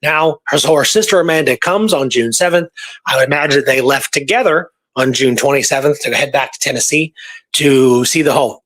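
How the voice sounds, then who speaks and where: A man's voice: mid-range voice, brisk, fairly bright tone. A man hosting a history podcast, conversational and speculative, reading from old records and often checking in with his listener. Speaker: podcast host presenting historical research.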